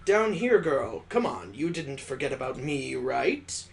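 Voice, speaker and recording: A man's voice: medium pitch, big pitch swings, measured pace, creaky, slight background noise. A man voicing a talking teapot lady from a visual novel, motherly yet frank and brusque. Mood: teasing, familiar. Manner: addresses listener as dear, frank.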